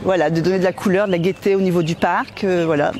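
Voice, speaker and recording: A man giving explanatory interview answers as a care home worker, in halting phrases, recorded outdoors in a garden. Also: high voice, steady tone, a little mellow. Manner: repeats 'voilà', halting phrasing. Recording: faint outdoor background noise. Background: faint outdoor ambience.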